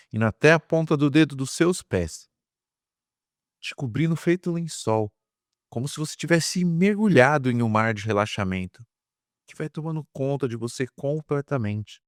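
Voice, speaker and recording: A man with a Brazilian Portuguese accent leading a guided meditation, soothing and repetitive, with pauses between drawn-out phrases. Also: on the low side, darkish tone, no room sound, studio quality. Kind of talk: guided meditation, hypnotic induction.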